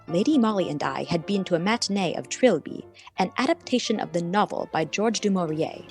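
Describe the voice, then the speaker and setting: A woman's voice: mid-range voice, lightly acted. A woman playing a devoted, discreet detective's companion, narrating a radio mystery in a formal, precise, confiding way. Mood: composed. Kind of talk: scripted radio play narration in character.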